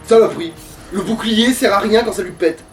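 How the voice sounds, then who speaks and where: A man with a fairly high voice plays a game and talks through it casually. His language is crude, with frequent swearing. He sounds set back from the microphone, with music playing underneath. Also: fairly quick. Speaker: let's player playing the game. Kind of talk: casual gaming chat and reactions. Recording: distant mic, music behind.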